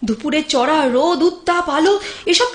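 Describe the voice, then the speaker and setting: A woman acts out a devoted young woman nursing someone she loves in an audio drama. Her voice is high and highly animated, moving between tender coaxing and impassioned urging. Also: normal speed. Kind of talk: Bengali audio drama acting.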